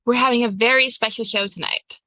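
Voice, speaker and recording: A woman voicing a flustered, accommodating carnival door attendant in a tabletop game, hurried and welcoming. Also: a little high, steady tone, bright, forceful tone, no room sound, poor audio. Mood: flustered welcome.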